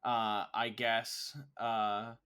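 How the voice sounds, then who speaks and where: A man's voice: lowish voice, monotone, extremely slow, bright, forceful tone, smooth. A young man co-hosting a casual podcast, teasing his co-host and poking fun at himself in a dry way. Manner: dry, teasing, self-mocking.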